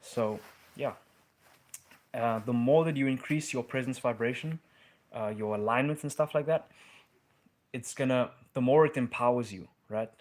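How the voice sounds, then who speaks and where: Young male host, casual slangy live-stream chat, mid-pitched voice. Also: moderate pace, pauses often, a little mellow. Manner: laid-back slang, calls listeners bro. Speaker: live-stream host answering viewer questions.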